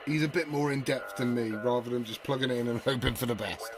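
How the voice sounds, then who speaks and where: A man's voice: mid-range voice, fast, crowd noise. A British man chatting casually and informally to viewers as the host of a radio hobby video, rambling in a matey way.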